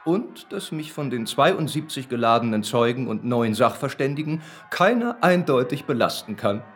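An actor playing a self-assured, educated defendant in a radio play, articulate, ironic and defiant. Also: male voice, mid-range voice, big pitch swings, moderate pace, a bit bright, slight background noise. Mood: sardonic. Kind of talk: German radio play acting.

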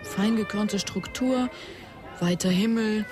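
An actress playing a cool, enigmatic photographer in conversational radio crime drama dialogue. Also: female voice, mid-range voice, slow pace, close to an everyday voice. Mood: guarded, wistful. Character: cool, enigmatic woman photographer, teasing yet uneasy. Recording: music under the voice.

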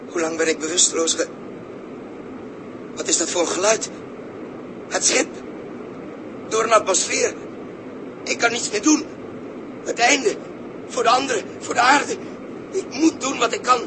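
An actor playing a lone, dazed but dutiful male astronaut, halting and repeating phrases in a 1960s Dutch radio drama monologue. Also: high voice. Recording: noisy early-1960s radio drama recording.

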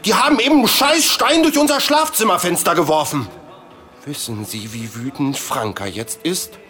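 A man's voice: a little high, animated, normal speed, over music, close to an everyday voice. A man playing a harried, agitated family father and political consultant in a satirical radio play, exasperated in manner. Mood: agitated, accusing.

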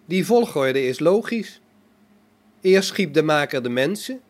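A man's voice: a little high, animated. Man acting a self-assured, solemn robot prophet. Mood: calm, self-assured. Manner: measured, solemn, reasoning. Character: self-assured male robot prophet, calm, devout and logical. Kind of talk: amateur science fiction radio play acting.

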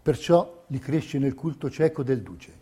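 A man narrating a scripted documentary theatre piece in a measured, declamatory manner. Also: medium pitch, warm tone, husky. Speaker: stage narrator.

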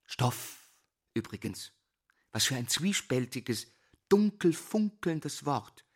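An actress playing a musing teacher lecturing on poetry in a radio drama, incantatory and repeating syllables. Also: female voice, very deep voice, sing-song, slow pace, husky, full character voice. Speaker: actor.

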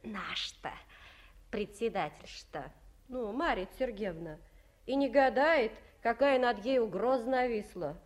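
A giggly gossiping village wife. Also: female voice, fairly high pitch, lively intonation, measured pace. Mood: gossipy. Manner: folksy rural speech, giggles often. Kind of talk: 1950s radio play acting.

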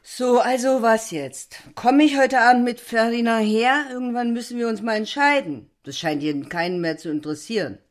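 A woman's voice: fairly high pitch, normal speed, few pauses, close to an everyday voice. An actress playing a tipsy, opinionated, brittle married woman in a theatrical audio drama, with a languid, sardonic way of pronouncing her views. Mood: insistent.

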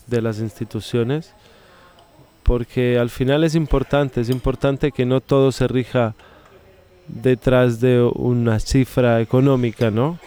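A man's voice: medium pitch, mellow, clean tone. A man casually giving reflective opinions, often seeking agreement with short tag questions.

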